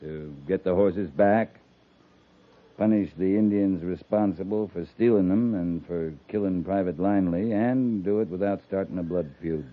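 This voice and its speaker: An American man playing a seasoned, calmly stern cavalry captain in a 1950s radio drama, speaking in a measured, clipped, authoritative way. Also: deep voice. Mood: sober, measured. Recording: muffled, noisy 1950s radio recording.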